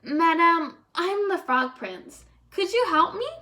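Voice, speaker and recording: A woman's voice: very high-pitched, animated, takes it slowly, bright, forceful tone, voice pushed up, exaggerated voice. A woman voicing a frustrated, self-pitying prince from a storybook, in a plaintive, indignant manner. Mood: annoyed, pleading. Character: frog prince, whiny frustrated self-pitying young man.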